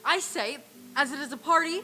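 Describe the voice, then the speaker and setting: A playful, genial gentleman host acted by a woman in a live radio play. The voice is high and bright over a faint hum. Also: normal speed. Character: genial, playful nephew hosting a Christmas party. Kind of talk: old time radio stage reading.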